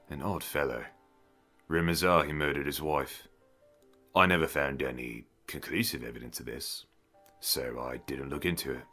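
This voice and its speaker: A man playing a haughty, gruff fantasy village mayor who talks in a formal, condescending way in an audio drama. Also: extremely low pitch, bright, forceful tone, heavily acted. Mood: disdainful. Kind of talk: fantasy audio roleplay acting.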